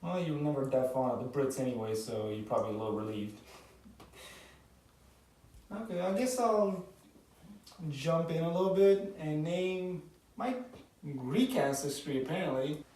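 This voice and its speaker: A man's voice: fairly high pitch, expressive, moderate pace, pauses often, darkish tone, recorded from a distance. Teasing young man in an echoey room. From Romania. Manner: playful teasing. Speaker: vlog co-host. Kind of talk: casual chat.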